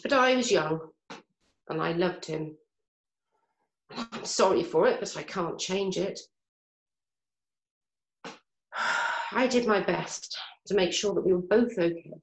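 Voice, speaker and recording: An actress plays a mother in her fifties, worried and full of regret. She speaks slowly and bluntly, with raw feeling, in a dramatic scene. The room echoes around her voice. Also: lowish voice. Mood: regretful, bitter. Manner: emotional, hesitant, blunt swearing. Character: worried, regretful, sweary mother of fifty-five. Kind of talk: stage drama scene acting. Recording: roomy echo.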